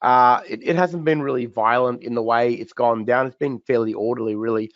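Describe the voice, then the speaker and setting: Fast-talking croaky man. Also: medium pitch, fairly even intonation, no echo, lo-fi sound. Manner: chatty, repeats words and restarts, many fillers. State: croaky, recovering voice. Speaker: trading educator hosting a webinar Q&A. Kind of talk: live webinar chart analysis.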